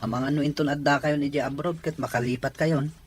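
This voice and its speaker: A man acting a worried farmer in an Ilocano audio drama, husky, with a Filipino Ilocano accent. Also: a little high, fairly even intonation, quick pace. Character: worried Filipino farmer, attached to his land.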